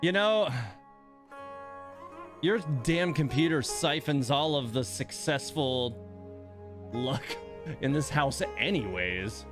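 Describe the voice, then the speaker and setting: A man's voice: mid-range voice, animated, slow, long pauses, music in the background. A man chatting casually on a gaming livestream in a grumbling, exasperated, self-deprecating way. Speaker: live streamer and host of a gaming channel. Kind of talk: casual livestream chat while playing a gacha game. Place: indoors at home computer.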